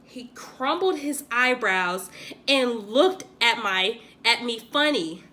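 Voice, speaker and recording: A woman reading a children's picture book aloud in an expressive, theatrical way, like a teacher acting it out for young pupils. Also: on the high side, takes it slowly. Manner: theatrical, acts out the dialogue.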